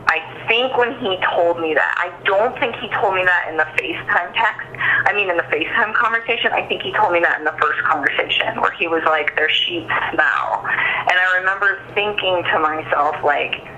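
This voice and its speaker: A woman's voice: lowish voice, moderate pace, pushed and bright. A woman being interviewed over the phone, talking informally and emphatically and often repeating herself. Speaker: interviewee giving a statement to police. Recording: phone call with faint noise.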